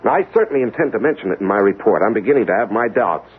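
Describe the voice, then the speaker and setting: A man plays a principled, insistent investigator in a 1970s radio drama. His lowish voice swings widely as he questions things in an earnest, halting way. The recording is narrow, like a telephone line. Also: animated, a little hiss or hum, close to an everyday voice. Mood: indignant.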